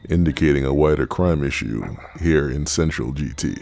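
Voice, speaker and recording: A man narrating a travel documentary in measured, scripted voice-over. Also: extremely low pitch, creaky, a little mellow.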